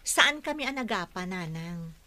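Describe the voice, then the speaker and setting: A woman's voice: lowish voice, animated, normal speed, bright, forceful tone. A woman acting a hurt young wife and mother in a radio drama, jealous and defensive, with a Filipino accent.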